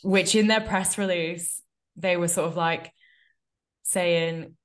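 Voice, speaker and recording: A woman's voice: on the low side, fairly even intonation, slow pace. A woman with a cold and a nasal voice, co-hosting a casual podcast chat, wry and full of "like" fillers. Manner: chatty, wry, many "like" fillers. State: congested with a cold. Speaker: podcast co-host.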